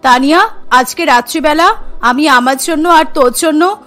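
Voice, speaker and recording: A woman voicing an exasperated, nagging mother scolding her lazy daughter in an animated cartoon. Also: high voice, normal speed, soft background music, voice pushed down. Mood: urging. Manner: nagging, warning.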